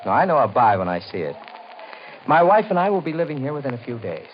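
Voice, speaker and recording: An actor playing a stubborn, uneasy husband, theatrical and emphatic in a 1950s radio mystery style. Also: male voice, medium pitch, big pitch swings, fast, lightly acted. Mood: indignant, confident. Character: stubborn, uneasy well-to-do husband buying a house. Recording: muffled, noisy 1950s radio recording.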